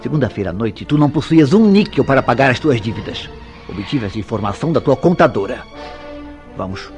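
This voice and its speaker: A man's voice: medium pitch, sing-song, brisk, close to an everyday voice. An actor playing a suspicious, jealous man in a Brazilian radio soap opera, speaking in formal, insistent, theatrical tones. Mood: accusing, insistent. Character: suspicious, jealous man relentlessly interrogating a woman. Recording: radio drama with background music.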